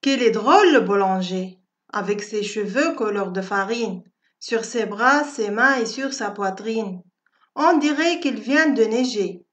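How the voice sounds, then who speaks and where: A woman reciting a poem for schoolchildren in a measured, deliberate, carefully phrased way. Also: mid-range voice, lively intonation, fairly bright tone. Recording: dull, lo-fi audio.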